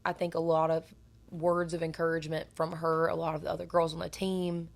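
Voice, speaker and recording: Young female guest, raspy alto, earnest storyteller. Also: low-pitched, completely flat delivery, brisk. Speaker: guest singer. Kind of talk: casual interview chat.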